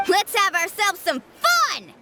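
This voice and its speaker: A woman voicing an excitable, cocky young girl adventurer in a video game, exuberant and full of exclamations. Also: very high voice, takes it slowly, a little hiss or hum, heavily acted. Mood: battle cheer. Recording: game music behind.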